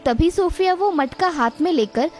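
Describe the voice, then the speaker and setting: A woman narrates a scripted children's moral tale. Her voice is high, with soft music playing behind it. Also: animated, moderate pace.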